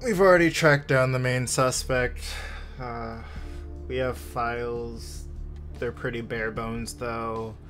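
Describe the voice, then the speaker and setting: A man's voice: medium pitch, steady tone, slow pace, a bit bright, soft background music. A man playing a businesslike, self-promoting investigator in a tabletop game, talking in a matter-of-fact way.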